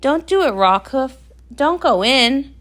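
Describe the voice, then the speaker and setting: A woman's voice: high-pitched, animated, takes it slowly, a bit bright, heavily acted. A woman voicing a timid, cautious and reluctant pony guard who tends to whine, in a storybook. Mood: pleading.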